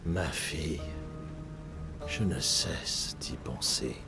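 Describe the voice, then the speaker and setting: An actor playing a weary older warrior father in a video game, solemn and measured, haunted by grief. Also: very deep voice, gravelly, music in the background, full character voice. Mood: sorrowful, tender. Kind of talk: dramatic video game acting, French dub.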